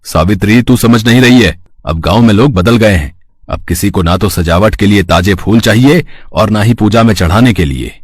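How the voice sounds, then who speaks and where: A poor, lazy flower seller, acted by a man, greedy and easily excited. His voice is rather low and rough, in a dry recording. He talks in an impatient, grumbling cartoon-story manner. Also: moderate pace, no echo. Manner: grumbling, stammering when startled, impatient. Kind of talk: Hindi cartoon moral story.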